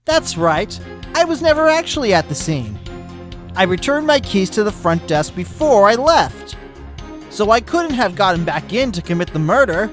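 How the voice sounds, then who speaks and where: A woman's voice: mid-range voice, big pitch swings, normal speed, soft background music, natural voice. A woman voicing a smug, hyperactive male sloth witness with a cocky drawl.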